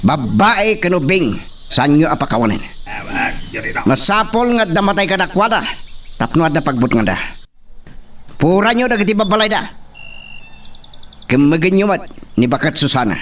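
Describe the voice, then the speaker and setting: A man's voice: on the high side, fairly bright tone. A man with an Ilocano Filipino accent acting a male character in a rural love-and-revenge radio-style drama. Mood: commanding, menacing. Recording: distant mic, faint background noise with insects.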